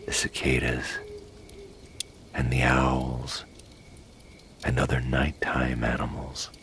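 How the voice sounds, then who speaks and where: Man, very deep bright-edged voice, breathy near-whisper, slow bedtime story narration, lingering delivery. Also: monotone, a little hiss or hum. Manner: hushed, lingering, descriptive.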